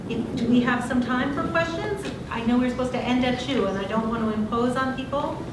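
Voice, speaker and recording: A woman's voice: a little high, brisk. Hesitant woman hosting a talk. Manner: hesitant, polite, with fillers. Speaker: event organizer. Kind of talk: hosting remarks. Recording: echoey hall, far from the mic, noisy.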